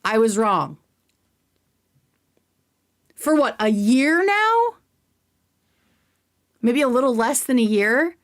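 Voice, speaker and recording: A woman's voice: fairly high pitch, very animated, takes it slowly, long pauses. A woman hosting a casual livestream commentary, speaking in a sarcastic, emphatic way with plenty of slang. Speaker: livestream host.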